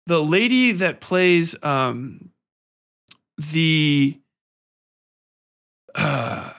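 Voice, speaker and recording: A man's voice: a little high, lively intonation, very slow, fairly bright tone. A man hosting a podcast in a casual, profanity-laced review style, blunt, exasperated and sarcastic. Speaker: podcast host. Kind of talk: casual ranting film review.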